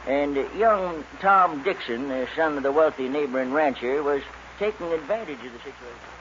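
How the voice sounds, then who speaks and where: An actor playing an old Western dad who spins campfire tales in a folksy, halting way, in 1940s radio style. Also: male voice, fairly high pitch, animated, fairly bright tone. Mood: folksy, easygoing. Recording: dull, lightly noisy 1940s radio recording.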